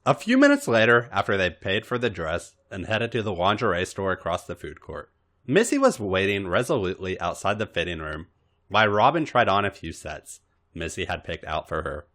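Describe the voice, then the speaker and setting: A man's voice: deep voice, big pitch swings, brisk, fairly bright tone. A man hosting a podcast, reading a piece of fan fiction aloud as dramatic story narration.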